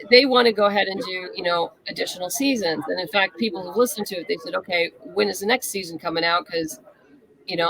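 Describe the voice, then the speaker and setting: A woman's voice: fairly low pitch, a bit bright, rough recording. A woman speaking as a guest in a casual interview, candid and explanatory. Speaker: interview guest, comic writer and novelist.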